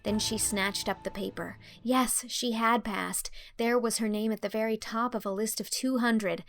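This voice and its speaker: A woman's voice: on the high side, fairly even intonation, normal speed, a bit bright. A woman reading scripted storybook narration as an audiobook narrator and host. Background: music.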